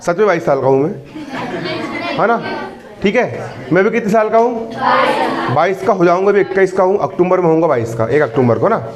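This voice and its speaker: A man's voice: sits high, lively intonation, fairly quick, long pauses, dull audio, over a noisy crowd. A man in his early twenties teaching a maths class. He keeps repeating questions to the students and teases and scolds them. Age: 20s. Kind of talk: classroom lesson. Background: students in the room.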